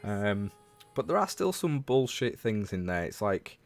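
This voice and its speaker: A man's voice: fairly low pitch, very animated, unhurried, dry recording, faint music behind. A man from Leeds with a Northern English accent, chatting casually about games as a livestream host and talking to viewers. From Leeds, England.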